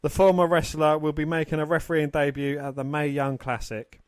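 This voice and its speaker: A man co-hosting a casual sports podcast, talking in a halting way and stumbling over his words. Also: fairly high pitch, flat delivery, smooth, dry recording. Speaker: podcast co-host.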